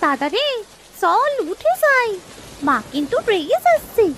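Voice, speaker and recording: A woman's voice: very high voice, sing-song, a little slow, exaggerated voice. A woman voicing a childlike, pleading little village girl in a cartoon, with rain behind her. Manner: childlike, whining and eager. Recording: clear rain noise and clicks.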